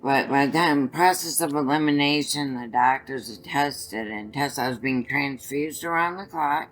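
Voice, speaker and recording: Raspy-voiced older woman. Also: a bass voice. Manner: halting, restarts often while recounting. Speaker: guest. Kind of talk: personal story in an interview.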